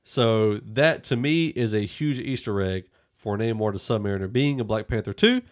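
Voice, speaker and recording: A man hosting a podcast, casually discussing a film and thinking aloud in a halting way. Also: fairly low pitch. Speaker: podcast host.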